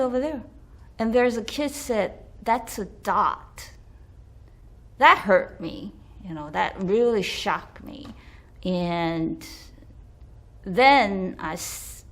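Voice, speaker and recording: A middle-aged woman from Taiwan, a school staff member, telling a personal story in an animated way and acting out the quoted voices. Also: fairly low pitch.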